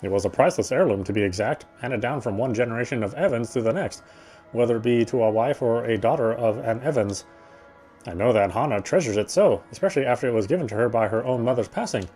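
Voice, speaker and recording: A man reading first-person story narration aloud in a theatrical, fussy gentleman's manner. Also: mid-range voice, expressive, fast talker, faint music behind. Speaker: game player reading aloud.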